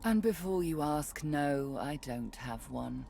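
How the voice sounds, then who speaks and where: An actress playing a sardonic, guarded woman guide in a video game, measured and commanding. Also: sits low, animated, vocal fry, mellow, a little hiss or hum, heavily acted. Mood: wry.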